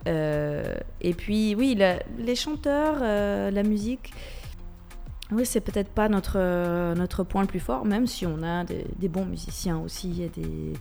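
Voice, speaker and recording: A woman's voice: mid-range voice, unhurried, vocal fry, warm tone, soft background music. A woman from Switzerland with a Swiss French accent, a radio guest who talks with many hesitations and restarts. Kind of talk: radio interview.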